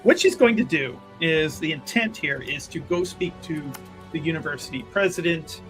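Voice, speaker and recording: A man chatting casually as a roleplaying player, describing what his character does in halting phrases. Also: on the high side, fairly bright tone, faint music behind. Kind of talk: casual game chat, describing a character's actions.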